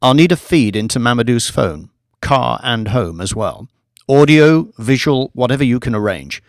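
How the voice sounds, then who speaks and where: A man's voice: medium pitch, animated, moderate pace, dry recording, studio quality, lightly acted. A man voicing a cool, scheming British government operative in an audiobook, commanding, clipped and businesslike. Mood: commanding, curt. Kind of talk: audiobook character voice.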